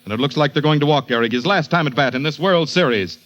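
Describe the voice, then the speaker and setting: An actor playing an excited baseball announcer calling a game with dramatic suspense in a 1940s radio play. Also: male voice, fairly high pitch, fast talker, dull audio, lightly acted. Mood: suspenseful. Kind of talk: 1940s radio sports commentary acting. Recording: vintage radio broadcast with music.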